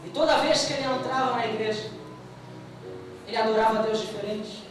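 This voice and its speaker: Echoing, high-pitched Brazilian man preaching. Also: fairly quick. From Brazil, family from the Northeast. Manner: theatrical, calls on the congregation to respond. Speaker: evangelist preacher. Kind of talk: Pentecostal sermon with dramatic storytelling. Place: church hall with PA. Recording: echoey church PA, distant mic, music behind. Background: music.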